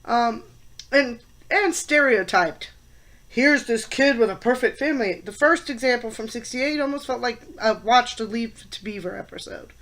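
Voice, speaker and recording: Woman in her fifties, stuffed-up head cold, bright tense voice, fairly high, emphatic vlog talk. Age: 50s. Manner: opinionated, emphatic, with pauses and fillers. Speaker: college teacher and student. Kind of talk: casual class vlog.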